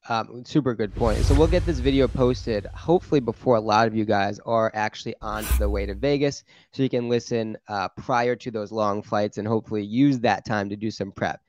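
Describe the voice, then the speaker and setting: A man's voice: on the low side, fairly quick, few pauses, darkish tone, no room sound. A young American man hosting casually, speaking into a close desk microphone. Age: young adult. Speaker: YouTube channel host. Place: small indoor home studio. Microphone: close desk microphone on boom arm.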